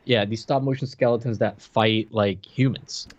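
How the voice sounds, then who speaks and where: A man's voice: on the low side, steady tone, normal speed, no echo. A man in his thirties co-hosting a podcast from home in casual conversation. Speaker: podcast co-host. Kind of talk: casual chat. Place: indoor room at home, video call. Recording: slightly dull, dry close sound.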